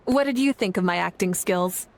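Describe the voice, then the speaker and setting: A woman's voice: fairly low pitch, moderate pace, gravelly. An actress playing a composed, friendly young warrior woman with an earnest, curious manner in a video game. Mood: friendly, sincere. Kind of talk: video game dialogue acting.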